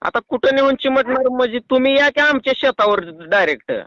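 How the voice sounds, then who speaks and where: A man's voice: very high voice, lively intonation, fairly quick, noisy background. A man with a rural Maharashtrian Marathi accent, talking colloquially and argumentatively as a farmer calling a doctor on the phone. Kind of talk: casual phone conversation. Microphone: phone call. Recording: muffled lo-fi phone call recording.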